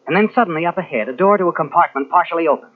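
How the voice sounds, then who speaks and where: An actor playing a knowing, insinuating narrator in a 1940s radio suspense drama, speaking dramatically as if taunting the guilty hero. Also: male voice, on the high side, racing, close to an everyday voice. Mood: suspenseful. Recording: tinny old radio recording from cassette.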